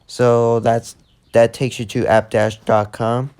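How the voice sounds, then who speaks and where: A man giving a casual, unscripted tech demo in a halting, repetitive way that tends to trail off. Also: mid-range voice, level tone, a little mellow, clear, resonant tone. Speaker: video host demonstrating a walkie-talkie app.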